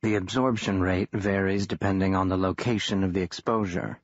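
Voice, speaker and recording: A man voicing a large, cool-headed male monster hunter who talks in a dry lecturing monotone. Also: low voice, normal speed, no room sound, muffled recording, close to an everyday voice.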